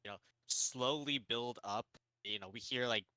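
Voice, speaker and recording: A man in casual podcast chat with a very bright, sharp tone, recorded dry and close. He rambles, piling up "like" between every few words. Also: medium pitch, normal speed, no echo. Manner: rambling, heavy use of "like". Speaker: podcast co-host. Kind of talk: casual chat reviewing anime.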